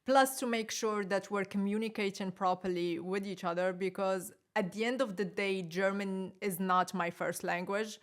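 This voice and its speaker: Animated woman guest from Morocco. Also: medium pitch, moderate pace, hardly any pauses. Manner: animated, laughs often, lively emphasis. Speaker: podcast guest. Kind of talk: casual interview chat.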